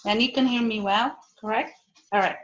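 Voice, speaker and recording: A woman's voice: a little high, fairly even intonation, moderate pace, a bit bright, roomy sound, highs cut off. A woman from Ethiopia and Eritrea teaching a live online cooking class from her kitchen, friendly and patient, often checking in with her students. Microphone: video call.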